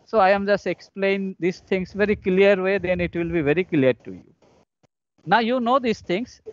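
A man with an Indian accent teaching engineering in a live online lecture, explaining step by step in a halting, repetitive way. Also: high-pitched, no echo. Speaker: engineering professor. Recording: dull lo-fi online call audio.

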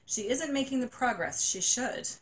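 A woman's voice: on the high side, animated, moderate pace, voice pushed up, lightly acted. Woman doing an anxious doctor, earnest, grave, plain voice, storybook reading. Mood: worried. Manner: worried, earnest.

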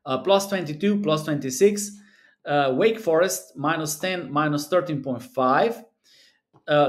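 Man, 30s, English, slightly high voice, earnest, repetitive, hesitant fillers, webcam close-up. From Europe. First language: a South Slavic language. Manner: earnest, repetitive, talks through numbers with many fillers. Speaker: sports betting analyst. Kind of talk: screen-recorded commentary. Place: small room indoors.

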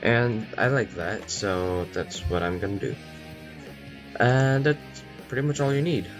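A man giving a casual software tutorial as its host, in a poor home recording. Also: on the low side, a little slow. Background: plucked guitar music.

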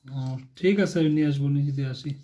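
A man's voice: a little high, a little fast, mellow. A young man, chatting offhandedly as a grown son on a family phone call. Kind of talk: casual family phone chat.

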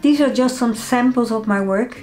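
An older woman from the Netherlands with a Dutch accent, calmly explaining her own paintings in her studio. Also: fairly high pitch, faint music behind. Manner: calm, measured, slightly non-native phrasing. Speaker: artist. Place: home art studio, indoors.

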